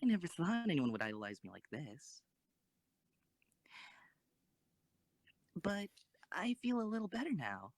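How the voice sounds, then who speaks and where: A woman's voice: lowish voice, very animated, fairly quick, darkish tone, no room sound. A woman playing a modest, reluctant teenage girl who commands a tank in an amateur audio drama. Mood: shy, relieved.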